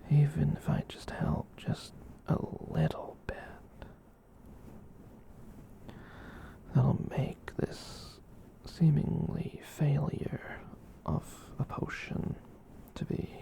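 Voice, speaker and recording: A performer voicing a gentle, soft-hearted giant catboy in a breathy whispered ASMR comfort roleplay, tender and hesitant as they ramble. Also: medium pitch, fairly even intonation, slow. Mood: soothing, affectionate.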